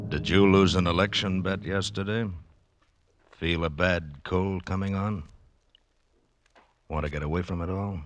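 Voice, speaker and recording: Very deep-voiced male 1940s radio narrator. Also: fairly even intonation. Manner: dramatic, ominous, deliberate phrasing. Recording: old radio broadcast, loud music underneath. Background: dramatic music.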